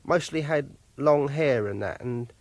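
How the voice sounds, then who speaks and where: An actor playing an obsessive, lonely, self-pitying English clerk, confiding haltingly in a first-person radio drama monologue. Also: male voice, medium pitch, no room sound, close to an everyday voice. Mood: awkward, confiding.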